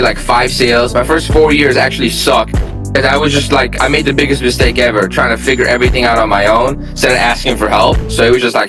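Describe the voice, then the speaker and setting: A young man from Armenia, a real estate investor, telling his story in a candid, plainspoken interview. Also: mid-range voice. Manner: candid, plainspoken, lots of fillers.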